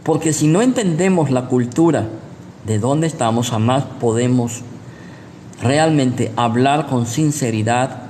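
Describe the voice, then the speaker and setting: A man's voice: medium pitch, a little slow, mellow. Guatemalan male preacher in a reverberant hall. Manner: didactic, repeats points, checks in with rhetorical questions. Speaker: pastor, preacher. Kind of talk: sermon, teaching with personal anecdotes. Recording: echoey hall with long reverb, background noise.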